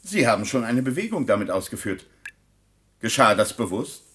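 An actor playing a callous, impatient scientist doctor in a science-fiction radio play, clinical and brusque in manner. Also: male voice, mid-range voice, very animated, moderate pace, fairly bright tone, breathy edge. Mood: cool, dismissive. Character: callous, impatient male scientist doctor, coolly self-justifying. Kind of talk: German science-fiction radio drama acting.

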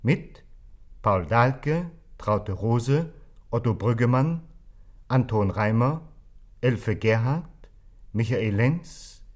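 A man announcing radio drama credits in a formal, deliberate, scripted manner. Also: mid-range voice, expressive, darkish tone.

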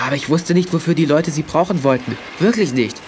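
A man's voice: on the high side, quick pace, noisy background, close to an everyday voice. A young man acting a shy, earnest teenage boy in a children's audio drama, speaking hesitantly. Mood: defensive. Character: shy, flustered teenage boy confessing and pleading innocence.

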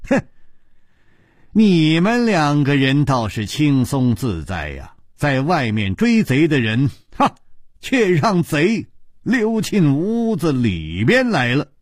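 A man voicing a calm, wry, world-weary martial arts hero in a measured, hesitant drawl. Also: on the high side, dry recording, clean studio sound, heavily acted. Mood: wry, puzzled.

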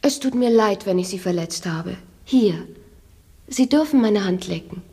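A woman playing a spirited, sharp-tongued, mocking young gentlewoman in a German radio play. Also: mid-range voice, expressive, a little slow, slightly rough, in a large hall. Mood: teasing. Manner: mocking, playful.

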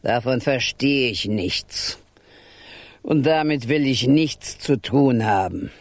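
An actor playing a grumpy, drowsy and slightly spiteful old toad in a children's radio play. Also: male voice, mid-range voice, slow pace, no room sound. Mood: dismissive. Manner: unwilling, drowsy muttering.

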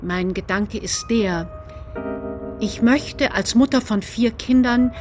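A woman's voice: a little high, slow, over music. An actress playing a devout, compassionate mother reading her letter aloud in a radio play. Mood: earnest, tender. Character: devout mother writing to a prisoner, earnest, compassionate.

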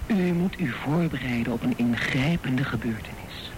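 A woman voicing a mysterious fortune teller who speaks in slow, solemn, ominous pronouncements in a radio drama. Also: very deep voice, background noise.

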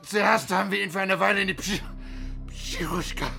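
A man's voice: high-pitched, fairly even intonation, normal speed, gravelly, sharp tone, soft background music, heavily acted. A man playing a hard, defiant Soviet secret-service officer under threat in a radio drama, answering in clipped, grudging phrases. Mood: strained, angry.

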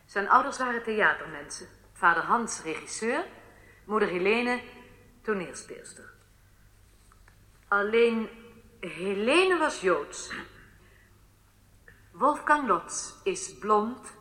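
An actress playing a businesslike intelligence aide, reading facts deliberately in a radio drama, recorded in an echoey, reverberant space. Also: female voice, medium pitch, animated, bright, forceful tone. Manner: deliberate, factual, clipped phrases. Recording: echoey room with long reverb.

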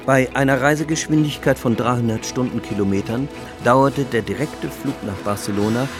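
A man's voice: medium pitch, normal speed, music in the background, lightly acted. An actor in a radio drama playing a man formally reading out a book's text. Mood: formal, solemn. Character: formal male reader of a conspiracy book's text.

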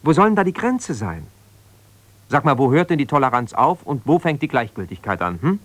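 Hesitant, defensive, wounded husband. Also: male voice, mid-range voice, very animated, fairly quick, fairly bright tone, dry recording. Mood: indignant, defensive. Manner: hesitant, searching, self-justifying, conversational. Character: defensive, wounded husband pouring out his troubles to a confidante. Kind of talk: naturalistic 1970s German radio drama monologue.

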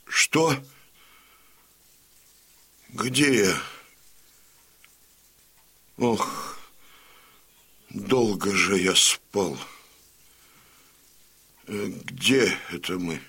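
An actor playing a stern, fierce old Cossack chieftain and father in an audio drama, with a gravelly, raspy voice. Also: deep voice, pushed and bright, heavily acted. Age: older.

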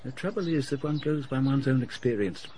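A male actor as a genial British secret-service gentleman in a radio drama. His very animated, mid-pitched voice is polite and musing, heard over faint noisy ambience with birds. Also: a little fast, lightly acted. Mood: curious, musing. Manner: polite, musing, well-spoken.

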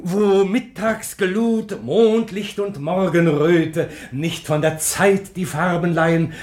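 An actor playing an anxious, wistful older stage actor in a German radio drama, declaiming verse slowly and then muttering his doubts haltingly. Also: high-pitched, a little mellow, echoey room. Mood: solemn declaiming.

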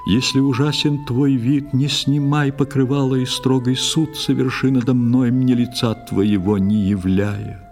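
An actor playing a fearful, lovestruck knight in an audio play, speaking theatrical, impassioned verse. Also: male voice, mid-range voice, fairly even intonation, unhurried, a little mellow, faint music behind, close to an everyday voice. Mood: terrified pleading. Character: fearful, lovestruck male knight facing death.

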